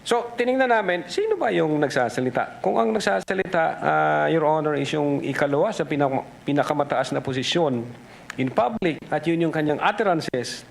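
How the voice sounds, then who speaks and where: A man with a Filipino accent giving formal, deliberate testimony as an investigator at a hearing, switching between English and Tagalog. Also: fairly high pitch, animated, gravelly, a little hiss or hum.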